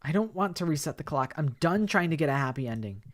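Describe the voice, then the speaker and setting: Brief: man doing a high, strained young woman, broken and pleading. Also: lively intonation, fast talker, close to an everyday voice. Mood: desperate. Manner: shaky, pleading and worn out. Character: despairing young woman trapped in a time loop.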